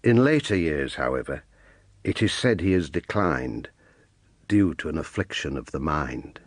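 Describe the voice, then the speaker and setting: An actor playing a measured, formal priest voicing his letter in an audio drama. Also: rumbling low, animated, no echo, studio quality, exaggerated voice. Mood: measured, informative.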